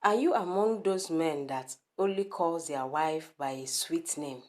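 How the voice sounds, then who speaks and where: Low-voiced Nigerian woman vlogging. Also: measured pace, a little mellow. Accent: Nigerian Igbo. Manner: emphatic, asks the viewer direct rhetorical questions. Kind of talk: casual opinion vlog. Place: home room. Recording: echoey room.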